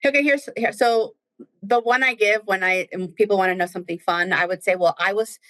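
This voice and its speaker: A woman who grew up speaking Spanish, a nun and daycare director telling her story with lively, acted-out moments. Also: mid-range voice, brisk, low-quality recording. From United States. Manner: lively storyteller, acts out what she said. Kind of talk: casual interview chat.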